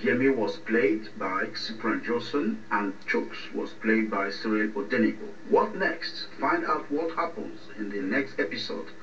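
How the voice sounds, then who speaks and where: A man's voice: on the low side, unhurried, few pauses, fairly bright tone, roomy sound, recorded from a distance, muffled recording, slight background noise. A man reading scripted credits as the announcer of a radio drama.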